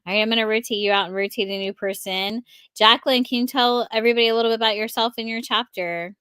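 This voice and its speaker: A woman's voice: on the high side, steady tone, sharp tone, clear, resonant tone, dry recording, studio quality. A woman hosting a live book-launch stream over a video call, introducing guests with enthusiasm. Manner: enthusiastic, quick when handing over to guests. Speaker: host of a book launch livestream.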